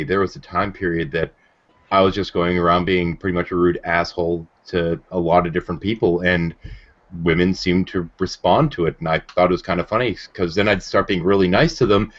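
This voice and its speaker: A man hosting a casual group chat, talking in a rambling storyteller's way. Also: sits low. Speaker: host of a group video call. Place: indoors at home on a group video call. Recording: dull lo-fi internet call audio.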